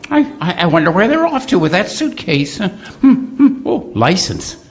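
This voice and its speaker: A high, fluttery, stammering fussbudget. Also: male voice, very animated, normal speed. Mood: flustered, scandalized. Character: fussy, timid, meek male neighbor, easily flustered. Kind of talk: old-time radio comedy acting. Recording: live stage re-creation, some noise.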